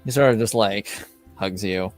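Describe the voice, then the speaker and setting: A man's voice: fairly low pitch, moderate pace, faint music behind. Man casually narrating a tabletop game. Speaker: player in a tabletop roleplaying game. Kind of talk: casual chat and loose improvised narration.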